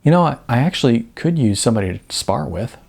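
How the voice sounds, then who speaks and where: A man's voice: mid-range voice, lively intonation, fast talker, vocal fry, darkish tone, slightly rough. A man voicing an earnest young film star in an audiobook, courteous, casual and a little hesitant. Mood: eager. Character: earnest, polite young male film star, eager amateur.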